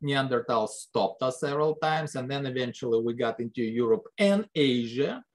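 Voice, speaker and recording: A man giving a biology lecture, talking conversationally and putting rhetorical questions to the class. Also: normal speed. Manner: lively pitch jumps for emphasis. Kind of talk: recorded classroom lecture over slides.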